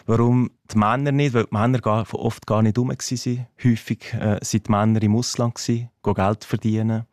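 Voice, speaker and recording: A man's voice: fairly low pitch, fairly even intonation, normal speed, few pauses, darkish tone, dry recording. Man, a touch, hesitant and thoughtful, dry studio sound. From Ticino, Switzerland. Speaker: teacher and interview guest. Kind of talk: radio interview, relaxed explaining.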